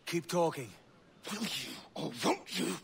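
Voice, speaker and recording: A brash game pirate talks in a cocky, sardonic way. His voice sits a little higher than most men's. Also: sing-song, measured pace, creaky, slightly rough, heavily acted. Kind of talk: video game cutscene dialogue.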